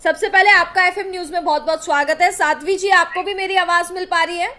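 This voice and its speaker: A woman news anchor with a very high, bright voice. She hosts a live television panel debate, addressing guests formally. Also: steady tone, fairly quick.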